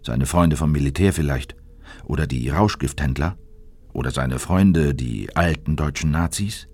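An actor playing a sober chronicler, narrating a political documentary drama in a scripted style. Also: male voice, very deep voice, unhurried, a little mellow. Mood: sober, suspenseful.

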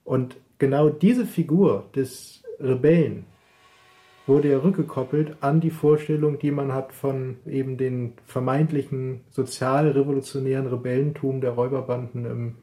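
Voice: medium pitch, steady tone, normal speed, dark tone. A person explaining ideas in an interview in a reflective, academic way. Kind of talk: interview commentary.

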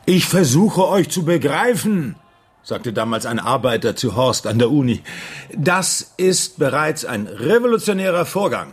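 An actor playing a jovial, ironic host and former student radical in a German radio drama. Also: male voice, a little high, very animated, a little slow, slightly rough, full character voice. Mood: nostalgic.

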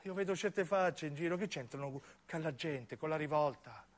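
A man playing a grave, troubled male activist, speaking in a brooding, measured way in a radio play. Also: fairly high pitch, close to an everyday voice. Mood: grave, foreboding.

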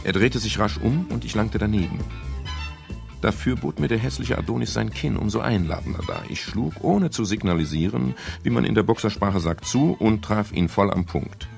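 A hard-boiled private detective tells his own story in a 1970s radio crime play. The voice is low and gravelly, the telling laconic and ironic, with music behind it. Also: lively intonation, moderate pace, darkish tone. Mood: wry. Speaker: first-person narrator in a crime radio play. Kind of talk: 1970s radio drama narration.

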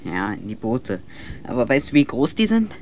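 An actor playing an earnest, anxious young greenhorn on an expedition crew, with a troubled, questioning delivery. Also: male voice, mid-range voice, wildly swooping pitch, normal speed, darkish tone, a little hiss or hum. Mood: anxious, upset.

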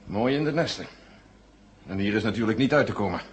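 Man acting a low-voiced radio-play general. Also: expressive, a little fast, slight background noise, close to an everyday voice. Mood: matter-of-fact. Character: steady, practical male general. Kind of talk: 1970s radio drama acting.

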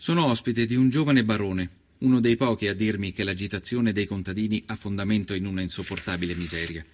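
A man narrating a scripted first-person story in a measured, literary and dramatic manner. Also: on the low side, darkish tone. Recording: music under the voice. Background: musical soundtrack.

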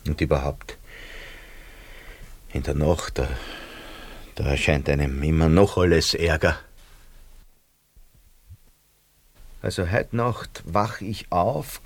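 An actor playing a middle-aged, self-pitying, fawning Austrian shopkeeper in a radio drama, rambling and theatrical with an Austrian accent. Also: male voice, deep voice, full character voice. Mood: brooding, self-pitying.

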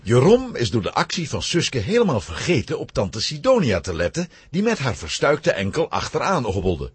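A male narrator of a children's audio story, very husky and hugely animated in pitch. He tells the scripted tale dramatically, in a dry recording. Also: normal speed, no room sound. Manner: dramatic, highly expressive.

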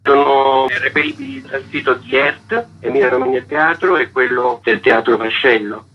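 A man's voice: medium pitch, steady tone, moderate pace. A man, a stage actor, giving reflective answers in an interview. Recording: dull radio recording, clear background noise.

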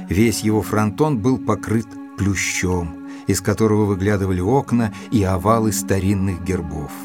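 An actor playing a gentleman narrator of a mystery tale, measured and expressive, in a literary audio drama. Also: lowish voice, natural voice. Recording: dry studio with music underneath.

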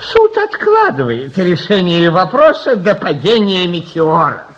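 An actor playing a flustered, courteous judge in a comic radio play, halting and pompously polite. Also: male voice, high-pitched, wildly swooping pitch, full character voice. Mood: pompous dithering. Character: flustered, patient, courteous old-fashioned male judge. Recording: dull, lo-fi radio recording.

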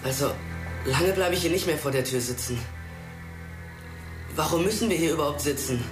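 Actress as terse, deep-voiced young pupil. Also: female voice, moderate pace, lightly acted. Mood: complaining. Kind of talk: naturalistic German radio drama acting. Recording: echoey, loud music underneath.

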